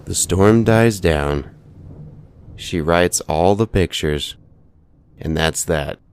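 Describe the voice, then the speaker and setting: A man reading scripted ghost stories as a narrator, in a measured, deliberate way with pauses. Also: low-pitched, a little hiss or hum.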